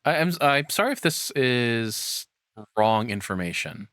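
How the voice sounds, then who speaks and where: A man's voice: mid-range voice, very animated, unhurried, fairly bright tone, no echo, studio quality. A man giving casual, off-the-cuff reaction commentary as a streamer, informal and given to swearing.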